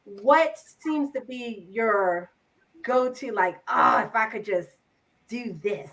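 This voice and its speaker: A woman hosts a casual podcast interview, chatty and affectionate. Her voice sits high, and she often restarts her sentences as she works toward a question. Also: animated, slow pace, fairly bright tone, rough recording. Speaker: podcast host.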